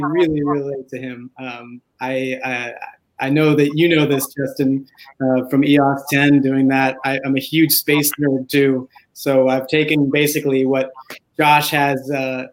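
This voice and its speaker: A man, a voice actor, chatting casually as an interview guest in a halting, enthusiastic way. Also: mid-range voice, level tone, low-quality recording.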